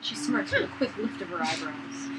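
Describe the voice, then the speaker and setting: A woman's voice: lowish voice, very animated, moderate pace. A young woman co-hosting a let's play, casually chatting and reading a visual novel aloud in a playful, giggly manner. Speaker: let's play co-host reading game dialogue. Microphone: fallback mic after the main one failed. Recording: noisy, no proper microphone.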